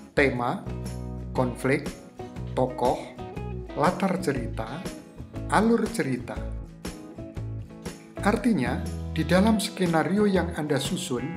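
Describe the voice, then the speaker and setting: A presenter gives scripted instructional narration in a didactic manner, putting rhetorical questions to the listener. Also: medium pitch. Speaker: host of a screenwriting tutorial. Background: guitar music.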